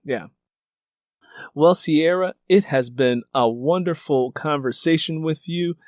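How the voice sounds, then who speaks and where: A man's voice: on the high side, takes it slowly, darkish tone, no room sound, studio quality. A man hosting a book interview podcast, talking conversationally and reflectively, often repeating and rephrasing for emphasis.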